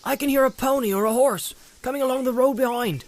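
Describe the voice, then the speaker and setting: A man's voice: very high voice, quick pace, voice pushed up. A man voicing a humble, rustic young hobbit servant in an audiobook, with a deferential, homespun, earnest manner. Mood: alert.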